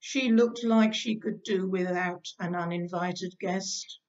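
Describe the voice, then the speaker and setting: A woman's voice: fairly low pitch, fairly even intonation, slow, a little mellow. A woman reading a ballad poem aloud on a distant, dull recording. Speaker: church group leader reading aloud. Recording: distant, dull-sounding microphone.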